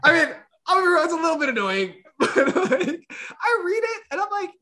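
A man chatting casually on a podcast, animated, laughing often and tripping over repeated words. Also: very high voice, moderate pace. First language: English. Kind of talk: casual chat.

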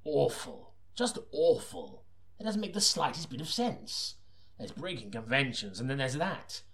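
Exasperated audiobook reader, played by a man, medium pitch, hesitant and grumbling in casual between-takes chat. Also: sing-song, a little slow, a bit bright. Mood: weary, dismayed. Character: exasperated audiobook reader, weary and doubtful of the script.

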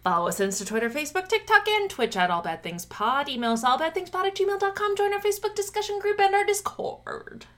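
A woman's voice: very high-pitched, very animated, fast talker, hardly any pauses, fairly bright tone. A woman co-hosting a podcast in casual, chatty conversation, laughing often and rambling a little. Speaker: podcast co-host.

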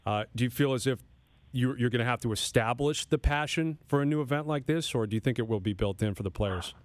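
A man's voice: medium pitch, fast talker, no room sound. A man hosting sports radio, asking questions in a conversational broadcast style. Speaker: sports radio host, interviewer. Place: radio studio.

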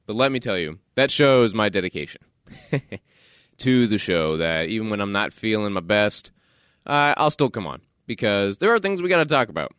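A man hosting a casual solo podcast, his voice stuffed up and nasal from a cold, talking with animated, playful energy. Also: on the low side, normal speed, dry recording, clean studio sound. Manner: animated, playful self-promotion. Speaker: podcast host.

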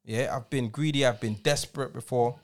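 A man's voice: mid-range voice, fairly even intonation, brisk. A man in his forties, co-hosting a podcast and telling stories in a slangy, emphatic way. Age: 40s. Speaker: podcast co-host, DJ. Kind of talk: casual chat.

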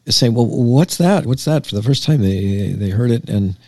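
A man speaking as a podcast guest in casual political chat, in a measured, unhurried way. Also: medium pitch, expressive, dark tone, dry recording, clean studio sound.

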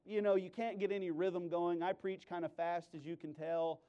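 Male preacher, high voice, emphatic delivery with sudden loud bursts and wry, joking storytelling. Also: little pitch movement, normal speed, smooth, muffled recording. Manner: playful, self-deprecating, sarcastic asides. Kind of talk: animated sermon with humorous storytelling.

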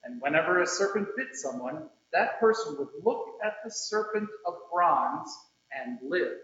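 A man giving a solemn scripture reading at a church service, with deliberate, measured phrasing. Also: a little high, expressive, fairly bright tone. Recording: very echoey room, slightly muffled.

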